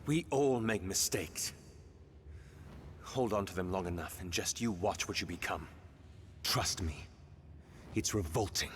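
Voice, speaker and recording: A man's voice: deep voice, unhurried, long pauses, fairly bright tone, long reverb tail, a little hiss or hum. An actor playing a passionate, blunt male companion in a dramatic video game, speaking in an emphatic, confronting way. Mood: low, earnest.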